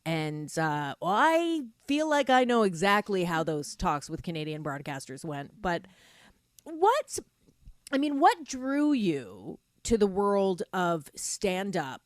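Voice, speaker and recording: A woman's voice: fairly low pitch, very animated, slow, no room sound. Woman radio host, dry studio sound. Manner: friendly, rambling questions with fillers. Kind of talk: conversational radio interview. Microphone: studio microphone.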